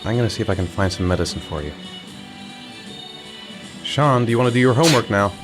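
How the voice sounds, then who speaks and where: An actor playing a gentle, patient father looking after his young son in a video game cutscene, soft-spoken but gently firm. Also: on the low side, animated, fast, over music, lightly acted.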